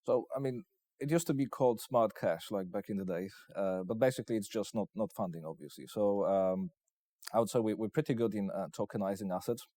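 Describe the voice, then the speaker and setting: A man with a Polish accent giving interview answers as he pitches his investment company, in hesitant, piecemeal phrases. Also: a little fast, warm tone, no room sound. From Poland.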